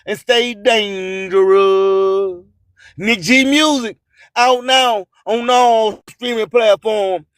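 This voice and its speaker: High-pitched man, slow rhyming radio host. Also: steady tone, a bit bright, clear, resonant tone, no room sound, studio quality. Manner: emphatic, boastful, preacher-like proclaiming with drawn-out phrases. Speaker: radio host and music promoter. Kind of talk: rhyming spoken-word radio monologue.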